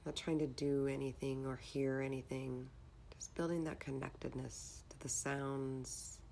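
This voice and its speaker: A woman leading a guided meditation in a calm, measured, instructional manner. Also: a bass voice, vocal fry, slight background noise.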